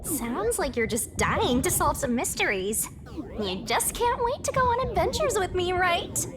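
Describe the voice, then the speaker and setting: A woman's voice: very high voice, sing-song, a little slow, a crowd in the background, full character voice. A woman voicing a cutesy, mischievous little spirit creature in a video game, teasing, bossy and playfully menacing. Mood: teasing, goading. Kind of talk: animated video game character acting.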